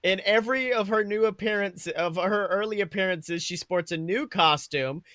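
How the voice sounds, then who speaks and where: A man co-hosting a casual movie review chat, talking in an excitable, slangy way with casual swearing. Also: high voice, moderate pace, dry recording, studio quality. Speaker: podcast co-host.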